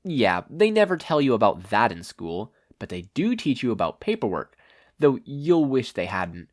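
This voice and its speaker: A man acts out a breezy woman hero for a story reading. She is confident and encouraging, teasing in casual phrases, with a varied, lively pitch. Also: moderate pace.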